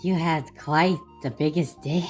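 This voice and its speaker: A woman voicing a mocking, gleeful female cartoon troublemaker with a sneering, drawn-out delivery in a fan parody dub. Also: low voice, soft background music. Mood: gloating.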